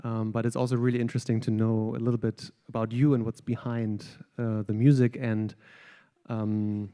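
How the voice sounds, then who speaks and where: A man's voice: mid-range voice, level tone, normal speed, mellow. A man hosting an onstage interview with guest musicians, speaking in a friendly, hesitant way with many ums and uhs. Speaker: host, jazz studies director.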